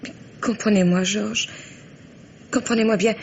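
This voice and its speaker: A frightened wife on the run from a violent husband as acted by a woman in a vintage radio mystery. She speaks haltingly at a middle pitch, repeating herself in distress. The old recording is dull, with faint noise. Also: steady tone, darkish tone, close to an everyday voice. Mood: pleading. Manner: halting, hesitant, repeats words.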